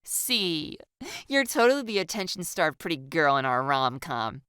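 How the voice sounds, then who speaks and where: Playful, excitable young woman, played by a woman narrator: teasing, theatrical, lively and crisp, for audiobook dialogue. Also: deep voice, measured pace, no echo, studio quality, voice pushed up, full character voice.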